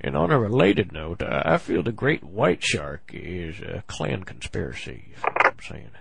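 A man with a gravelly voice making a comic prank phone call, halting and full of mock-earnest complaint. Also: deep voice, wildly swooping pitch, fairly bright tone, slight background noise. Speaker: prank caller on a comedy show.

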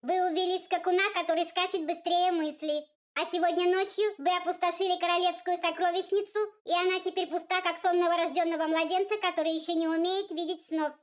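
Storybook role: tiny pompous male courtier played by a woman, extremely high piercing squeak, formal rapid patter. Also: level tone. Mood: officious, indignant. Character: tiny pompous bearded male envoy of the dream king. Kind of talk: storybook character voice.

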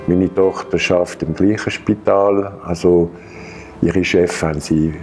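A man in his sixties from Geneva with a French-speaking Swiss accent, French his first language, telling his own story to camera in a halting way with many hesitations and restarts. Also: deep voice, fairly even intonation, a little mellow, faint music behind. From Geneva, Switzerland. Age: 60s. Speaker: patient sharing his experience. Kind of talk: personal account to camera. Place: bright studio room, indoors.

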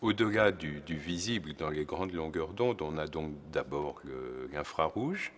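A man with a very low-pitched voice explains science for an educational documentary, with clear music behind him. He speaks off the cuff in a teacherly way, pausing and repeating words as he searches for the right phrase. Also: animated.

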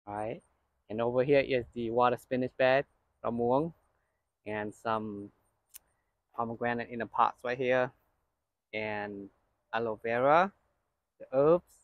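A man's voice: mid-range voice, dry recording, muffled recording. A man giving a casual walkthrough of his outdoor vegetable garden while he harvests, filming on a handheld phone. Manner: relaxed, chatty, pointing things out while walking and harvesting. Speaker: gardening channel host. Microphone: handheld phone camera.

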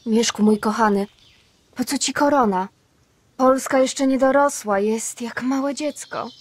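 A woman acting a loving medieval princess in a children's radio play, tender and gently persuasive. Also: on the high side, unhurried, pitched above the performer's own voice.

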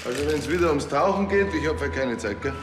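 An actor playing an evasive, grumbling small-time dealer in a TV crime comedy. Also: male voice, fairly high pitch, animated, fairly quick, natural voice. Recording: echoey workshop, faint music.

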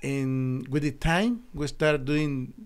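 A middle-aged man from El Salvador with a Spanish accent, telling his story as an interview guest in halting, earnest English in a small studio on a desk mic. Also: fairly high pitch, smooth. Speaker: guest, naturopathic doctor. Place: small studio with foam wall panels. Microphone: desk podcast mic.

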